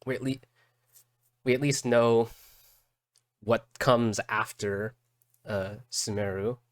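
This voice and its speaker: A man doing casual live reaction commentary as a streamer, halting and repeating words as he thinks aloud. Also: mid-range voice, fairly even intonation, dry recording, clean studio sound. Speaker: streamer reacting to game videos.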